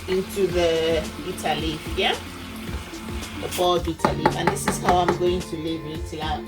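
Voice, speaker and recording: A Nigerian woman with a Nigerian accent chats slowly through her recipe, calling her viewers "guys" and filling pauses with ums. Her voice sits a little low, picked up on a phone mic in a home kitchen. Loud background music plays under her the whole time. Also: low-quality recording. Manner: chatty, addresses viewers as guys, many fillers. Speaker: cooking channel host. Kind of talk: step-by-step cooking talk-through.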